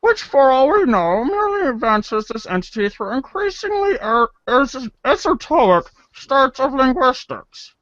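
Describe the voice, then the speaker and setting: Woman as a haughty young girl, high voice, slow deliberate delivery, sardonic, storybook parody, dull sound. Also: very animated, fairly bright tone, no room sound, heavily acted. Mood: drily exasperated. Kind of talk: read-along storybook parody acting.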